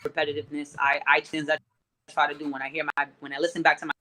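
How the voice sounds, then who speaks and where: A man's voice: on the high side, little pitch movement, very fast, sharp tone, poor audio. A man, a rapper guest on a podcast, talking casually in loose street slang.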